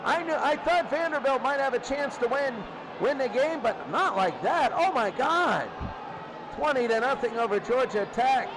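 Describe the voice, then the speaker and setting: A man doing play-by-play American football commentary in short, exclamatory present-tense bursts. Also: very high-pitched. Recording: noisy recording with loud crowd din. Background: loud crowd noise.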